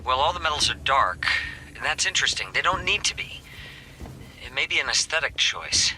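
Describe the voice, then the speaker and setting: Bright-voiced, musing male scientist. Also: mid-range voice, very animated, fairly quick, a little hiss or hum, low-quality recording. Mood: hesitant, musing. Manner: hesitant, musing, explanatory. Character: male scientist doctor, curious, rambling, awed by alien technology. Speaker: scientist briefing a military team. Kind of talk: video game voice acting.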